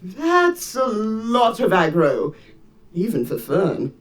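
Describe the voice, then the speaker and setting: A woman's voice: mid-range voice, wildly swooping pitch, slow pace. A woman playing an anxious young adventurer who is unsure about leading, in a visual novel game.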